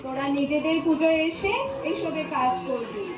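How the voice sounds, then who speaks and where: A woman's voice: high-pitched, moderate pace, noisy background, lightly acted. A woman acting a bossy, loving mother goddess, scolding and commanding her children in an amateur stage play. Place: community stage. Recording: echoey hall, distant mic, music, crowd.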